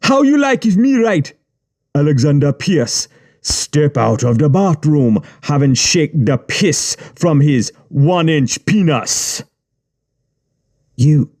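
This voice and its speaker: A man voicing a calm, menacing adult male gang boss who speaks in quiet, threatening, broken-grammar street talk. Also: on the high side, voice pushed up.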